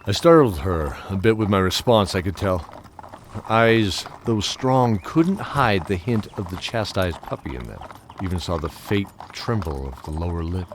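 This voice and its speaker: An actor playing a weary, wistful old knight who tells his tale in measured, theatrical fantasy audio drama. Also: deep voice, noisy background, natural voice. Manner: measured, theatrical, chuckling reminiscence. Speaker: narrator and lead character. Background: music under the voice.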